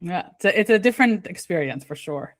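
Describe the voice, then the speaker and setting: A woman from Israel hosting a podcast interview, friendly and quick to laugh, asking long questions. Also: lowish voice, animated, no room sound, clean studio sound. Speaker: podcast host.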